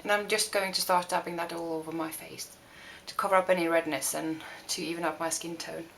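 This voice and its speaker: A woman's voice: fairly low pitch, little pitch movement, a little fast, creaky, noisy background. Hesitant young Norwegian woman. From Norway. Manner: hesitant, many fillers and restarts. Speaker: beauty vlogger. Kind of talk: makeup tutorial talk. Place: home room.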